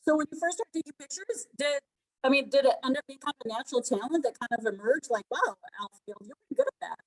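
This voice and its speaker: Host: woman, slightly high voice, warm encouraging interviewer, video call sound. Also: quick pace, rough recording. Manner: encouraging, asks long winding questions. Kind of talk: career interview questions. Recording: video call with unstable connection.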